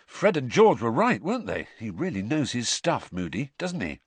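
A man voicing an eager, plain-spoken teenage schoolboy in an audiobook, with a colloquial, excitable manner. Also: mid-range voice, moderate pace, husky, no room sound. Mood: impressed. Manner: colloquial, impressed.

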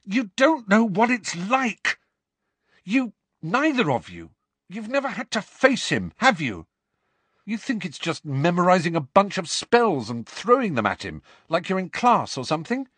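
A man putting on a furious teenage boy who pushes his voice high and loud as he insists he is right. The lines swing up and down with heat and defensiveness. The recording is close and dry, with a slightly breathy edge. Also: wildly swooping pitch, measured pace, dry recording, exaggerated voice. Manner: raised, heated, insistent. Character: angry teenage boy wizard, defensive and heated.

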